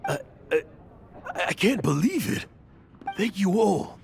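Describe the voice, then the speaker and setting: An actor playing an overwhelmed, grateful man who speaks in halting, stammering phrases. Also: high-pitched, big pitch swings, gravelly, exaggerated voice. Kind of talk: video game voice acting. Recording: game score under dialogue.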